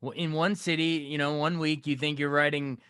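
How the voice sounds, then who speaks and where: A man's voice: fairly high pitch, level tone, brisk, pushed and bright, clear, resonant tone, no echo, clean studio sound. A man hosting a casual, conversational interview as an entertainment reporter.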